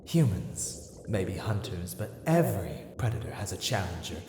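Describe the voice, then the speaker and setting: A man playing a merman siren in an ASMR roleplay, speaking in courteous, formal phrases as a seemingly gentle creature with a predatory streak. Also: low-pitched, very animated, a little hiss or hum. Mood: menacing, gloating. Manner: soft, courteous, formal, halting speech. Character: male merman siren, feigning gentle innocence, secretly predatory.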